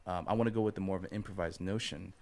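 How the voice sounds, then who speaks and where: A man's voice: low-pitched, fairly even intonation, racing, darkish tone. A man speaking as a guest artist in a casual podcast interview, thoughtful and hesitant, repeating phrases and checking in with "right" and "you know". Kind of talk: casual podcast interview, explaining art process.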